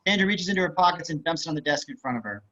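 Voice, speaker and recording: A man's voice: a little high, lively intonation, rapid-fire, sharp tone. A man reading stage directions as scripted narration in a screenplay table read. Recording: slightly dull video-call audio.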